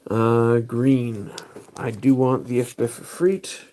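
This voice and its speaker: Guy hosting a stream, talks real slow, kinda mulling stuff over out loud. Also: mid-range voice, fairly even intonation. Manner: thinks aloud while choosing cards. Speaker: livestream host. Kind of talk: casual livestream commentary.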